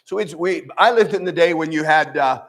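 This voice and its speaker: An older man from the American Midwest with a nasal voice, preaching a sermon as pastor in a folksy, animated way with many restarts. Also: on the high side, fairly quick. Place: church.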